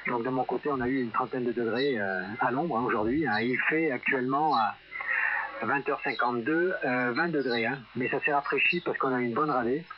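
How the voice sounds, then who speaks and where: A man's voice: medium pitch, fairly quick. A man from France chatting over amateur radio in a friendly, matter-of-fact way. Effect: heard over radio. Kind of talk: casual ham radio exchange. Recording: very noisy, muffled shortwave radio reception.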